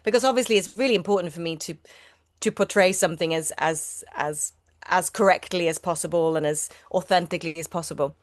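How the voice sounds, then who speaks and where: Swedish-native actress in an interview, low-pitched, chatty, restarts a lot, dry close sound. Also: female voice, a little fast, dry recording. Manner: chatty, many restarts and repeated words. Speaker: actor guest. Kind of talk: casual interview chat.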